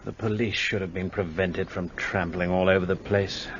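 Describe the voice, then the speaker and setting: An actor playing an arrogant, self-important Paris detective who talks dismissively. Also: male voice, low-pitched, steady tone, normal speed, highs cut off, slight background noise, natural voice. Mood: haughty. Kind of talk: radio drama acting.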